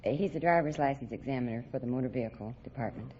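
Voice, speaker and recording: A woman's voice: extremely low pitch, racing, dark tone. A woman from Hawaii chatting unscripted as a quiz contestant on old radio. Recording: muffled old radio recording.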